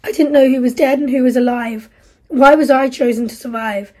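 Woman reading a grieving, furious young man; husky, mellow tone. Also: a little high, steady tone, moderate pace. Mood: bitter, angry. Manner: anguished, bitter, raised voice. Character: grief-stricken angry young man, survivor.

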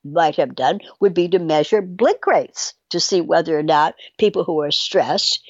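A woman's voice: low-pitched, normal speed, dry recording, lo-fi sound. A woman chatting casually as a podcast guest, rambling and hesitant as she thinks aloud. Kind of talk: casual conversational discussion.

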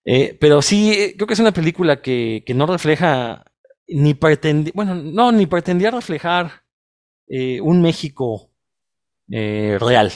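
A man's voice: fairly high pitch, expressive, a little slow, no room sound, studio quality. A man with a chilango Mexico City accent, speaking as a podcast co-host in an emphatic, opinionated film discussion full of tag questions.

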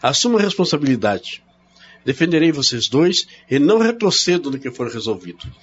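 An actor playing a stern, unyielding Brazilian brother-in-law in a radio drama, speaking in an emphatic, commanding way. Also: male voice, on the high side, sing-song, brisk, husky, lo-fi sound. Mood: commanding, resolute.

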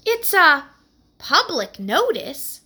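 A woman voicing a sassy young schoolgirl with a teasing, sharp-tongued manner. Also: high voice, very animated, slow pace, voice pushed up, heavily acted. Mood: eager.

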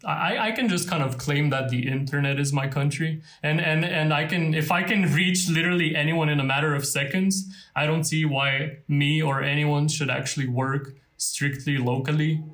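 Man, 20s, documentary interview, chatty and rambling, higher voice. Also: steady tone, moderate pace, few pauses, a bit bright. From Lithuania. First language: Lithuanian. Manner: casual, rambling with many fillers and light laughs. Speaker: freelance designer interviewee.

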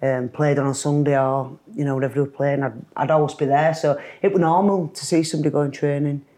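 A woman's voice: low-pitched, fairly even intonation, quick pace, mellow. A middle-aged woman from Leeds speaks with a Yorkshire accent. She is a guest on a casual podcast, telling stories in a chatty way and laughing often. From Leeds, England.

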